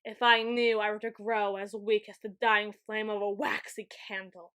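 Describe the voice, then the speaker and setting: A woman's voice: medium pitch, level tone, normal speed, a bit bright, smooth. A woman playing a grandiose, weakened overlord of shadows in an ASMR roleplay, with pompous, flowery, melodramatic delivery. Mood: wistful, faltering. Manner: pompous, archaic flowery phrasing. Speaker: ASMR roleplay audio performer. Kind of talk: ASMR roleplay, theatrical scripted monologue.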